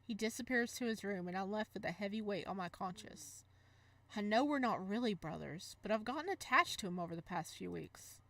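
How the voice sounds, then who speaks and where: A woman hosting a gaming video, moving between expressive story narration and casual, animated commentary. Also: mid-range voice, brisk. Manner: animated, exclamatory asides. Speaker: gaming video host reading a visual novel.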